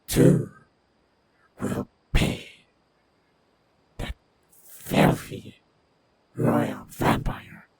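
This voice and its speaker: A man voicing a brutal, vengeful feral vampire clan leader in a fantasy audio roleplay, with a growling, breathy rasp and halting, broken phrases. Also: lowish voice, dry recording, exaggerated voice.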